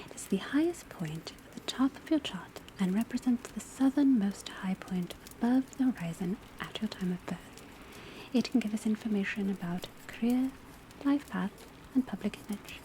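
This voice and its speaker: A woman playing a patient, scholarly witch school professor in a soft, breathy ASMR whisper, lecturing in a measured, precise way. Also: mid-range voice, natural voice. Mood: attentive, explanatory. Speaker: teacher in a classroom roleplay. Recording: soft steady background ambience noise. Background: crackling fireplace, pencil writing, rain on rooftop.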